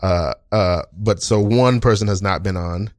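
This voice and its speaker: A male podcast host with a fairly low voice, recorded close and dry. He talks casually and haltingly, often backing up to rephrase what he has started. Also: a little mellow, dry recording.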